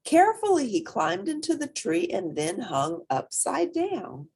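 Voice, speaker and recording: A woman reading a children's story aloud in an expressive, dramatic, emphatic way. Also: fairly high pitch, a little slow, breathy edge. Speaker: storybook reader.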